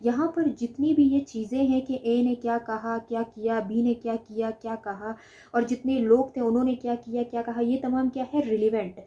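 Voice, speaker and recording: A woman's voice: fairly high pitch, steady tone, normal speed, muffled recording. A woman lecturing in an explanatory way, posing questions and answering them herself, repeating key phrases. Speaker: law lecturer. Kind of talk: explanatory lecture with simple examples.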